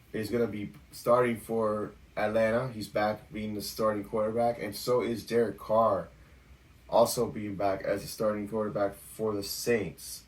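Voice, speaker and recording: A fairly low-voiced man hosts a casual football podcast. He runs through stats and matchups in an easygoing, loose way. His voice sits a bit back from the mic. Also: recorded from a distance, low-quality recording. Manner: relaxed, talks through stats with fillers. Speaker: podcast co-host. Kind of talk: casual sports podcast chat.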